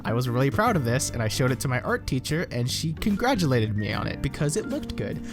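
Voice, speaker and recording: A man's voice: mid-range voice, big pitch swings, fast, over music. A young American man, a YouTuber, rambling and self-deprecating as he reacts to his old videos, swearing casually. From United States.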